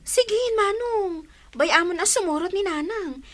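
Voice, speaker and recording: A woman's voice: very high voice, a little slow. A woman playing an eager, grateful, respectful younger sister in an Ilocano drama. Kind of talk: Ilocano audio drama acting. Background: music.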